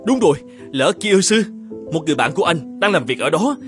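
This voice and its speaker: A man voicing an earnest, troubled young male painter and devoted husband in an animated fairy tale. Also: a little high, fast talker, over music. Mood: inspired.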